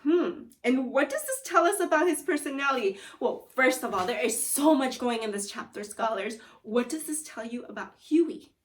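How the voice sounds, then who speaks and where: A woman schoolteacher reading a story aloud to children and pausing to prompt them with encouraging questions. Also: high-pitched, animated, far from the mic. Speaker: elementary school teacher.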